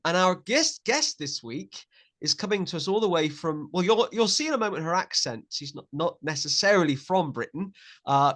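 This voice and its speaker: A man's voice: on the high side, a bit bright. Chatty male podcast host. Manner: chatty, repeats words, many filler uhs. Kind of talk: hosting an interview podcast.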